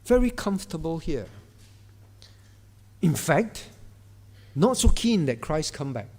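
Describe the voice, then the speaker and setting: A man's voice: fairly high pitch, very animated, takes it slowly, mellow, breathy edge. A male pastor, preaching a sermon in a conversational way and often turning to the congregation. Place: church hall. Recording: reverberant church hall.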